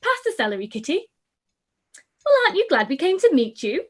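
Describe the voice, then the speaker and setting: A giddy, careless teenage girl, played by a woman in a radio play. She chatters in a high voice that swoops about. Her delivery is lively and sing-song. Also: fairly quick. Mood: gossiping. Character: giddy, silly youngest sister, teenage girl. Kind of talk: live-read radio play.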